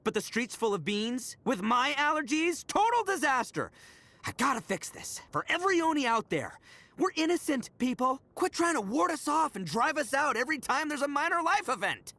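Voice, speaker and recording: A loudmouthed young male oni gang boss from a video game. The voice sits high and leaps around theatrically, with a bright, forceful edge. He is slangy, boastful and cocky, yet big-hearted. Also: big pitch swings, moderate pace, exaggerated voice. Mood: exasperated. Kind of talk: video game character voice acting.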